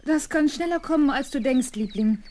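A woman's voice: sits high, normal speed, mellow, lightly acted. An actress playing a patient, kindly governess talking affectionately to a small girl in a children's radio play. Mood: cheerful, patient. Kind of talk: 1970s German children's radio play acting.

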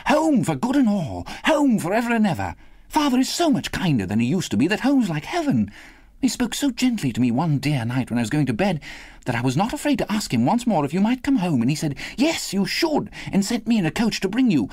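Storybook little girl, done by a male narrator, high and animated, affectionate, eager, breathless with joy. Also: fairly quick, breathy edge, no room sound, exaggerated voice. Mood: gleeful, loving. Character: gleeful, affectionate little girl, younger sister.

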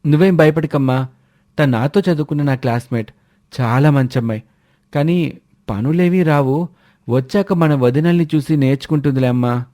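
A man's voice: fairly high pitch, moderate pace, pauses often, warm tone, voice pushed up, close to an everyday voice. A man voicing an earnest, cheeky young adult son in a cartoon moral story. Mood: reassuring.

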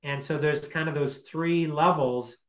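Male, middle-aged. Slightly higher voice, informal lecturer, hesitant and halting delivery. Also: fairly even intonation. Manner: hesitant, halting, thinking aloud. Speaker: guest lecturer. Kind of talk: informal explanatory lecture with questions. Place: small home office, indoors.

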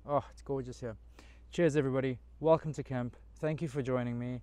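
A man's voice: medium pitch, fairly even intonation, a little fast, dark tone, dry recording. A man in his thirties talks enthusiastically to his viewers from a forest campsite. He sounds chatty and pleased, and his voice is picked up outdoors by a camera mic. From Australia. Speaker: camping vlogger. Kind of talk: casual solo camping vlog. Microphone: camera mic, handheld selfie and tripod framing.

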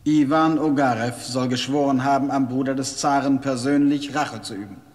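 An actor playing a composed, deferential courier officer, reporting formally in a German audio drama. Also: male voice, medium pitch, steady tone, normal speed, a little mellow, natural voice. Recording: long hall reverb.

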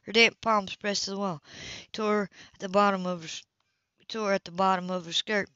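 A woman reading a romance novel aloud as an amateur narrator, slightly halting, stumbling over words and repeating them. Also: mid-range voice, fairly even intonation, sharp tone, clear, resonant tone, no room sound. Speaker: amateur audiobook reader. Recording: dull, muffled lo-fi home recording.

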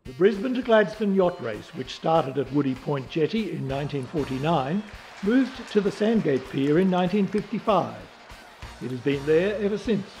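A man's voice: fairly high pitch, lively intonation, normal speed, a little mellow, soft background music, low-quality recording. A man reading scripted historical narration for a documentary.

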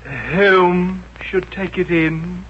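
A man playing a haughty, pompous office clerk in a 1950s radio comedy, with drawn-out words. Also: sits high. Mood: disdainful. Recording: dull, noisy 1950s radio recording.

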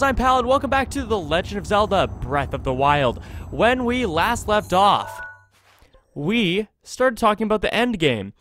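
A man's voice: high-pitched, slight background noise. A man doing casual, chatty video game commentary as host of a series. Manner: animated, chatty, self-correcting. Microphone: close desktop USB microphone.

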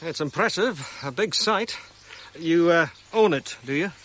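An actor playing a curious, reserved man visiting a dig in a radio drama, measured and questioning. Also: on the high side, expressive, fairly bright tone. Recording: muffled, faint outdoor ambience noise.

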